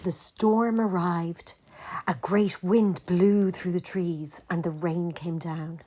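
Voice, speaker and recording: A woman storyteller reading to children. Her voice sits a little low, and she goes very slowly and gently, giving each phrase room. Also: fairly even intonation. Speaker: storyteller reading a picture book aloud.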